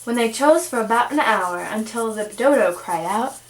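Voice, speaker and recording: A woman's voice: fairly high pitch, expressive, moderate pace. Woman narrating a puppet show, roomy. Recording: roomy, echoing home recording.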